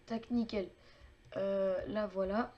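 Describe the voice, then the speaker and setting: A woman's voice: medium pitch, steady tone, takes it slowly, highs cut off. A woman narrating a casual game tutorial while building, chatty and full of fillers and little clicking sounds.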